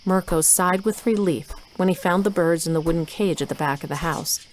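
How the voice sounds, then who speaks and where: A woman's voice: on the low side, brisk. A woman reading scripted story narration for an audio drama. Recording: noisy ambient sound effects.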